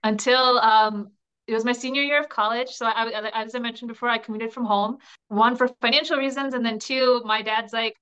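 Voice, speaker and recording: A woman's voice: a little high, fairly even intonation, fairly quick, fairly bright tone, clean tone, muffled recording. A woman in her thirties from New Jersey, a guest in an interview, speaking earnestly and chattily about her upbringing. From New Jersey, USA. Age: 30s. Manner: earnest and chatty, with frequent restarts and small laughs. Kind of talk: candid personal interview.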